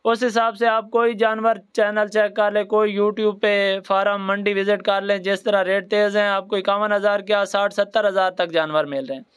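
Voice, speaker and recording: A man's voice: high voice, flat delivery, normal speed, few pauses, fairly bright tone, clear, resonant tone. A man with a Pakistani accent narrating a promotional livestock sales video in repetitive sales patter.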